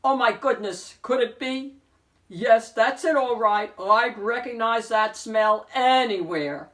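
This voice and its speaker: A woman's voice: on the high side, takes it slowly, fairly bright tone, voice pushed up. A woman voicing a lean, mangy old male wolf from a picture book, pompous and hungry, with grandiose, emphatic speech. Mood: excited. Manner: grandiose, emphatic, genteel wording.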